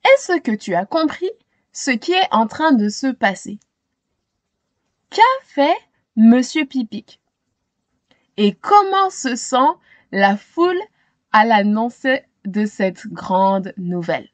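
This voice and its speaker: High, animated, unhurried woman storyteller. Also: no echo. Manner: dramatic, pauses to question young listeners. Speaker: storytime reader. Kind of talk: expressive children's storybook narration.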